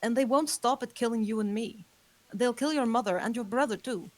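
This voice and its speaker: A woman's voice: on the high side, brisk, darkish tone, no room sound, close to an everyday voice. A woman reading the part of a young female vampire who earnestly warns her roommate of danger, in a script table read. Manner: earnest, urgent warnings.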